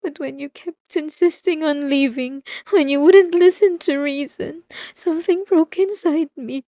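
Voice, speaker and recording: A woman's voice: sits high, measured pace, mellow, no room sound. A woman playing an unhinged, possessive and obsessive wife in a dramatic ASMR roleplay, intense and swinging between pleading and menace. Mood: remorseful, fragile. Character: unhinged possessive, obsessive young wife confronting her husband.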